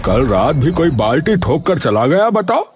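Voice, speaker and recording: A man acts out a blustering village bigwig from a cartoon. The delivery is theatrical and grumbling, with pitch leaping all over the place. Music runs clearly behind it. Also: sing-song, moderate pace. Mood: grumbling, indignant. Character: blustering, self-important village men in cartoon squabbles. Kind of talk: animated Hindi cartoon dialogue.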